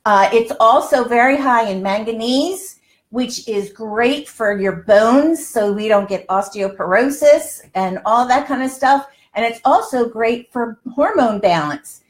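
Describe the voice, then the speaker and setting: A woman in her sixties chatting and explaining in a folksy, emphatic way as host of a live cooking show, recorded in a home kitchen. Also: a little high. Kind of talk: chatty instructional livestream.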